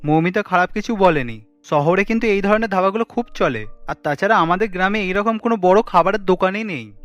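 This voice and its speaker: A man playing a practical, supportive young village son in a cartoon, brisk in manner. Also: high voice, animated, fairly bright tone, no room sound, muffled recording, soft background music. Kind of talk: cartoon character acting.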